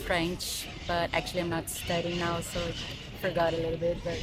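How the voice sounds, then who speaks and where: A woman's voice: lowish voice, completely flat delivery, moderate pace. A woman from Rio de Janeiro with a Brazilian Portuguese accent, a native Portuguese speaker, chatting relaxedly in a street interview. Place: outdoors on a city street. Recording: busy crowd around her.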